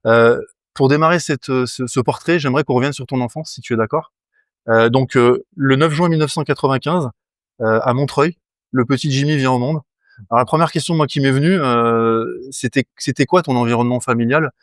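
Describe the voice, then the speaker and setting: A man's voice: mid-range voice, fairly quick, no room sound, studio quality. A man hosting an interview, asking polite, prompting questions with frequent restarts. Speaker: interviewer, host.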